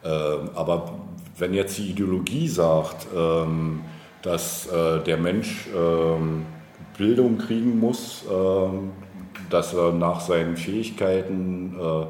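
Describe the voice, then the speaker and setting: A man's voice: sits low, steady tone, takes it slowly, darkish tone. A man speaking as a local politician in a conversational interview, explaining in long, winding, hesitant sentences. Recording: roomy echo, faint background noise.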